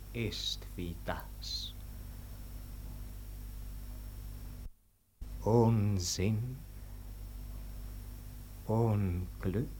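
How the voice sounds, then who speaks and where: A man's voice: on the low side, slight background noise. An actor playing a desperate, impassioned young nobleman in a verse radio play, theatrical and emotional. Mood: hollow despair. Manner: theatrical, emotional, swinging from cries to whispers. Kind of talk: declamatory verse radio drama acting.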